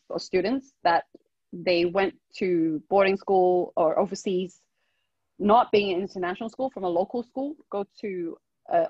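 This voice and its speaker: Husky woman from Hong Kong. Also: fairly low pitch, no echo, highs cut off. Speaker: interview guest. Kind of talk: casual personal storytelling.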